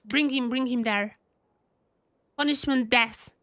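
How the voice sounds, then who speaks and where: A woman game streamer giving casual, expressive live commentary, often repeating her phrases. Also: fairly high pitch, slow pace, no echo.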